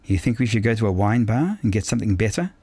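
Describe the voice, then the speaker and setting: A man's voice: lowish voice, lively intonation, fast, mellow, husky, no echo. A man voicing a forward, direct French woman academic of about thirty-five in an audiobook. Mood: blunt, forward.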